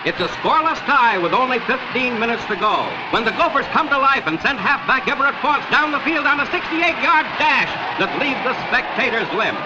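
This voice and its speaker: A man's voice: extremely high pitch, animated, fairly quick, few pauses, highs cut off, background noise. A man narrating football in a dramatic, punchy 1940s newsreel style, on a vintage film soundtrack. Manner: dramatic, punchy, declamatory delivery. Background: loud music.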